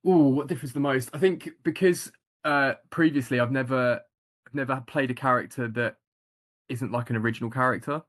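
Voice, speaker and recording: A man talks through his acting work in a relaxed interview, halting and restarting often. His pitch sits in the middle and barely moves, so the delivery comes out flat and even. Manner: hesitant, many ums and restarts, flat delivery. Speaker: actor, interview guest. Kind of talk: casual interview answers.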